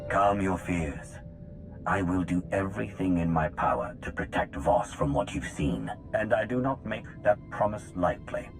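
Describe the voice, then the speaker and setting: Deep-voiced, composed heroic man. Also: lively intonation, moderate pace, over music. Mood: solemn resolve. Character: composed, reassuring male outsider hero, earnest and resolute. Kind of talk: video game cutscene acting.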